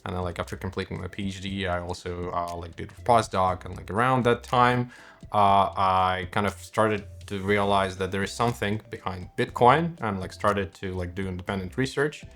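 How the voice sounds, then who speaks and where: A man from Russia with a Russian accent, a native Russian speaker, explaining technology as a startup co-founder in a halting, hesitant way. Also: deep voice, steady tone, a bit bright. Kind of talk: explanatory interview talk about technology.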